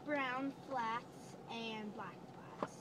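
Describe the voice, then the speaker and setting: A young teenage girl chats casually in a fairly high voice. She is recorded from the back seat of a car, with clear noise around her. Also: steady tone, very slow. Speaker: vlogger's sister, co-presenter. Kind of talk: casual chat.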